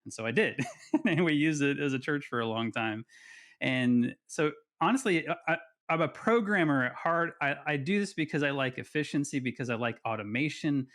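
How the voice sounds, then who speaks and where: A man's voice: fairly high pitch, lively intonation, normal speed, a bit bright. A man in his forties, a podcast guest telling his story in a chatty, self-deprecating way. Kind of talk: casual podcast interview.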